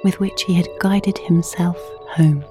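Female narrator, intimate literary audio piece. Mellow, slightly low voice, hushed and measured. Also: steady tone, soft background music. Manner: slow, hushed, measured delivery, often near whispering. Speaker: narrator and performer of an audio playlet.

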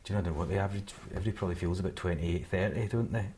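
Deep man, noisy recording. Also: very fast, darkish tone. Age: 40s. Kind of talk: casual interview talk. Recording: noisy background with clicks.

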